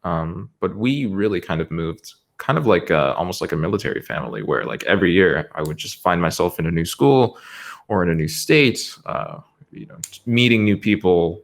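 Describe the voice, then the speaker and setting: A young man with a deep, low-pitched voice and an American accent, whose first language is Spanish. He talks as a podcast guest in a loose, casual way, wandering through his points with plenty of "like" and "um". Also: lively intonation, normal speed, gravelly. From United States. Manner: rambling, lots of "like" and "um" fillers. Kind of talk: casual chat.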